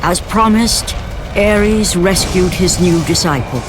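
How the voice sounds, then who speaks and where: A male narrator tells a video game's story in a grave, measured style. His voice sits slightly higher than most men's. A loud orchestral score runs under every line. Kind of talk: dramatic scripted narration. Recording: loud music score behind.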